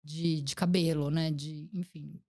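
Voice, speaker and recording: A woman's voice: low-pitched, little pitch movement, unhurried, dark tone. A woman in her forties from Brazil, hosting a casual interview podcast and reflecting in a chatty way. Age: 40s. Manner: chatty, reflective, many fillers like "gente" and "né". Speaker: podcast host.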